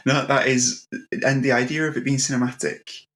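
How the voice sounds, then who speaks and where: A man's voice: medium pitch, little pitch movement, normal speed, a bit bright. A man hosting a conversational interview, putting his questions in an appreciative, roundabout way. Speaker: interviewer, podcast host.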